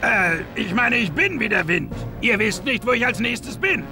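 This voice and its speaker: A man's voice: high-pitched, expressive, normal speed. A man voicing a bumbling, boastful alien villain in a game cutscene, blustering and stumbling over his words. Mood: blustering taunts. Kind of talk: animated game cutscene acting. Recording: music behind.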